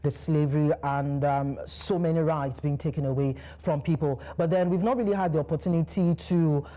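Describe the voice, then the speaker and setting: Low-voiced woman hosting a panel. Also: steady tone, moderate pace, mellow. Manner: polite, frequent fillers. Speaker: news show host. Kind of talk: studio panel discussion.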